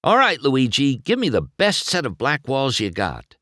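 Cocky young male race car voiced by a man, breezy and showy, lifted pitch, lively, storybook. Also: unhurried, dry recording, clean studio sound, full character voice. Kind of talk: storybook read-aloud.

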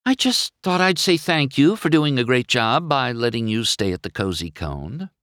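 A man as a breezy young lady car, storybook character, blunt, casually teasing, lively swooping pitch, dry sound. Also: moderate pace, no echo. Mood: nonchalant. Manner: nonchalant, blunt.

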